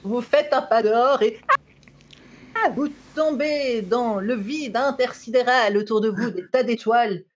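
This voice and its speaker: A woman's voice: fairly high pitch, unhurried. A woman acting as game master, narrating a tabletop game playfully with many fillers.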